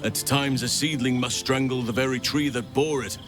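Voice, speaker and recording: An actor playing a grave, philosophical nature sage in a video game, measured and sombre. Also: male voice, medium pitch, steady tone, lightly acted. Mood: grave, reflective. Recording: music behind the voice.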